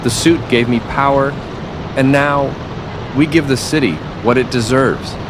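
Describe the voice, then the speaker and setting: A man's voice: medium pitch, fairly even intonation, a little slow, pitched above the performer's own voice, lightly acted. A man voicing a bitter, menacing, hulking monster fused with a human, speaking accusingly in an audio bedtime story. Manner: accusing, ominous. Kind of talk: dramatic bedtime story narration. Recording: loud city ambience and rain noise.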